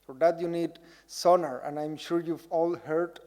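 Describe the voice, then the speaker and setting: A man's voice: fairly high pitch, steady tone, a little slow. A man giving an informal public lecture in a hall, talking directly to his audience and inviting them to take part. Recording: reverberant hall with loud background noise.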